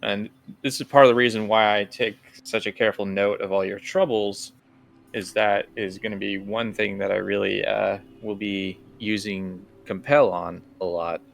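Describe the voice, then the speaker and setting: Low-pitched, slightly creaky man as game master. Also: fairly even intonation, normal speed. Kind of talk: casual rules explanation and scene-setting for a tabletop game.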